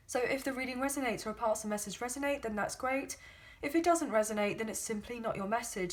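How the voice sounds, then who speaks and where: A woman gives a chatty, rambling tarot reading, filling it with hedges and ums. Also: fairly high pitch. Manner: chatty, rambling, hedging with many fillers. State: sore throat, losing her voice. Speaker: tarot card reader. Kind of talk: casual spoken tarot reading.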